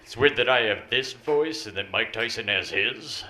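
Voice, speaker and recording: Deep-voiced deadpan man doing stand-up. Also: normal speed. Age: 30s. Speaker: stand-up comic. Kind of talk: deadpan stand-up one-liners. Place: small bar comedy stage. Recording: roomy bar with crowd noise. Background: audience laughter.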